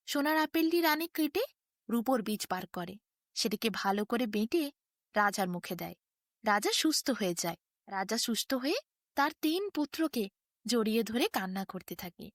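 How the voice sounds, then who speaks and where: A woman's voice: on the high side, dry recording, studio quality. A woman narrating a bedtime fairy tale in a sing-song storytelling manner. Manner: sing-song storytelling, quoting figures in her own voice.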